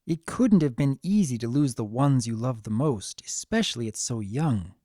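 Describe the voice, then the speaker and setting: A man reading a scripted story as narrator, with a gentle, expressive dramatic delivery. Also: mid-range voice, moderate pace, dry recording, studio quality.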